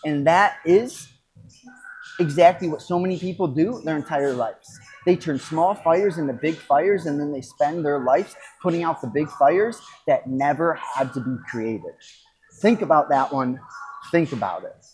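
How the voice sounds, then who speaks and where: A podcast host and coach giving a casual motivational talk, speaking reflectively and emphatically, with pauses and repeated phrases. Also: mid-range voice, expressive, a little mellow, a little hiss or hum, low-quality recording.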